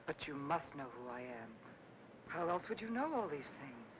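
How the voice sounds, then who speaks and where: An actress playing a refined young widow, wary but playful, in 1940s film noir style. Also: female voice, sing-song, moderate pace. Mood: startled, wary. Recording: noisy old film soundtrack with rumble.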